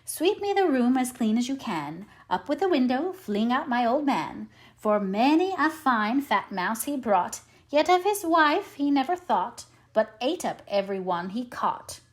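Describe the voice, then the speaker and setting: Storybook widow fox, played by a woman: imperious, fussy, raised and swooping pitch. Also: big pitch swings, measured pace. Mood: bossy. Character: fussy, imperious widowed vixen seeking a husband. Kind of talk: storybook read-aloud character voice.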